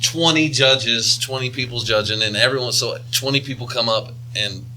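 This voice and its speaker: A man's voice: medium pitch, moderate pace, pushed and bright, slight background noise, poor audio. A man who performs as a rapper, chatting casually as a podcast guest, laid-back with lots of filler words.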